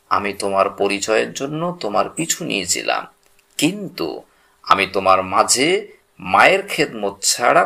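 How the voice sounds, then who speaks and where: A solemn, earnest prophet from a religious tale , voiced by a male storyteller. He speaks formally and gravely in a bright, creaky voice with expressive rises and falls. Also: fairly low pitch, heavily acted.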